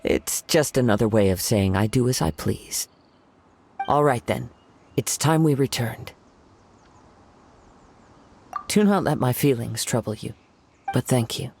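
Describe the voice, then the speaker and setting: Serene young samurai with slightly creaky voice. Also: male voice, medium pitch, animated, normal speed, a little hiss or hum. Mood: calm. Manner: soft-spoken, reflective, formal. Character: young male wandering samurai, serene, poetic, wistful. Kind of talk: anime game voice acting.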